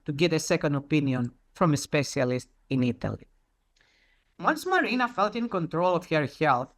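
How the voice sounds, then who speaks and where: Presenter doing a prepared talk like telling a story, really varied intonation, deliberate, stresses the key words. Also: mid-range voice, fairly bright tone.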